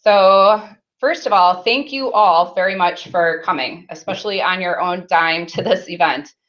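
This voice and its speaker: A woman's voice: fairly low pitch, normal speed, a bit bright, highs cut off. A woman opening a conference workshop, chatty and casual with many ums, speaking into a handheld mic in a meeting room. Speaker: conference session host. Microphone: handheld mic with faults.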